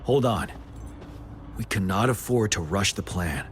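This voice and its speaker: An actor playing a composed, dutiful young general in a video game, speaking in a measured, formal and grave way. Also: male voice, on the low side, expressive, a little hiss or hum. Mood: firm, steady. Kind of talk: English game voice acting, measured formal dialogue.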